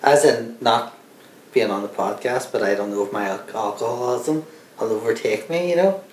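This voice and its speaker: A man's voice: medium pitch, moderate pace, a little hiss or hum. A young Irish man chatting casually about films on a podcast, rambling with lots of fillers and restarts. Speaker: podcast co-host. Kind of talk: casual film chat. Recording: echoey room.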